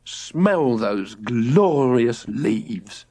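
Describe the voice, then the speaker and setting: Man playing a pompous, theatrical father, slow and lofty. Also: mid-range voice, animated, dark tone, dry recording. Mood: rapturous. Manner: declamatory, drawn-out, breathy exclamations. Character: pompous, theatrical middle-aged father, rapturous about nature, easily appalled.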